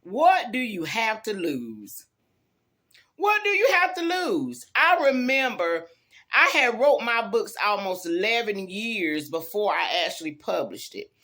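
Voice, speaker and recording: A woman's voice: medium pitch, very animated, unhurried, a bit bright. A tired woman gives a casual, emphatic pep talk to viewers, folksy and fond of repeating her phrases. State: tired after work. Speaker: YouTube vlogger.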